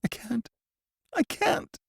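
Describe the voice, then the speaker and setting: A man voicing a terrified male prisoner who stammers and pleads in a high, strained, cracking voice, as in an audiobook. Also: takes it slowly, fairly bright tone, no echo, studio quality. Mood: sobbing, stammering. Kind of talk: audiobook character voice.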